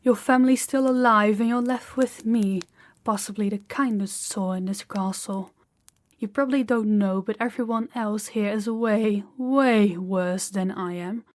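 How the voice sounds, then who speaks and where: Woman doing a haughty princess for audio roleplay, mid pitch, formal n measured, kinda condescending, talking right to you. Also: lightly acted. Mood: smug, condescending. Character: haughty captor princess, commanding yet awkward and dutiful. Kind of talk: audio roleplay acting, spoken to the listener.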